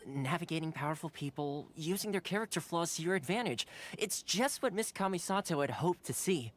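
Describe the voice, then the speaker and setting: A man's voice: fairly high pitch, expressive, a little fast, slight background noise, lightly acted. An actor playing a friendly, suave young male retainer in a video game, with a polite, playful and formal way of talking. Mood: earnest, persuasive. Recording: game dialogue over background music.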